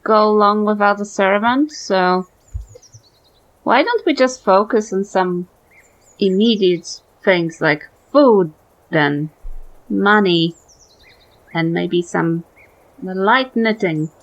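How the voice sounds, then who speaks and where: Woman as a slow-talking, haughty granny. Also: mid-range voice, poor audio. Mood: haughty, practical. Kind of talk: tabletop roleplay.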